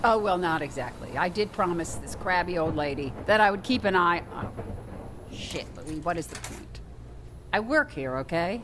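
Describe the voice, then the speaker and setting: Over clear traffic noise, an actress plays a wry woman down on her luck in a radio comedy drama. She works in a laundry and talks about herself with self-mocking resignation. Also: lowish voice, expressive, moderate pace, close to an everyday voice. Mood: defensive.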